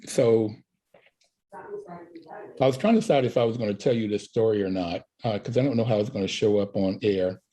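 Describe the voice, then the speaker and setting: Male show host, husky, mellow, casual anecdotal delivery. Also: lowish voice, fairly quick, pauses often, poor audio. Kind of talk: casual tribute talk show hosting.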